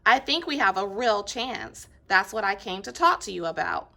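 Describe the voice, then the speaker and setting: Bright-voiced earnest lawyer read by a woman. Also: mid-range voice, animated, moderate pace, vocal fry. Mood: persuasive. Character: earnest, courteous male civil rights lawyer.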